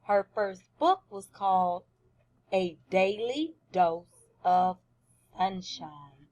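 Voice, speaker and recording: A woman teaching first graders through a read-aloud, speaking deliberately and with emphasis, often questioning the children. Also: mid-range voice, animated, a little mellow, highs cut off.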